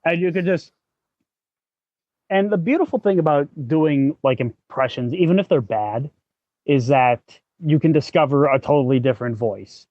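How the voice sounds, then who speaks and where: Male podcast host, slightly high-pitched, casual and explanatory, halting delivery, close dry sound. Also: no room sound, narrow, tinny audio. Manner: halting, thinking aloud. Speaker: working actor.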